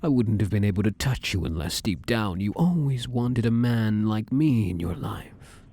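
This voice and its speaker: Casting: male actor for obsessive living shadow lover, ASMR roleplay; mellow, husky, breathy, domineering yet coaxing. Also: lowish voice, expressive, normal speed. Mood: menacing, jealous. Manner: intimate, coaxing, commanding, slipping into breathy whispers.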